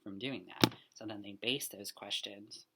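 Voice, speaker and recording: A young man, a student, casually reflecting on a book he read for class. He talks earnestly in loose restarts and often trails off into a breathy near-whisper. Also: lowish voice, steady tone, a little slow, very noisy.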